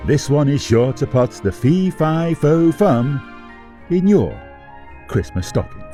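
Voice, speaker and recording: A man's voice: a little high, sing-song, slow pace, over music. A man playing a gushing fairytale television presenter, delivering theatrical scripted comic links between programmes. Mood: gushing, teasing. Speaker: presenter linking the monologues.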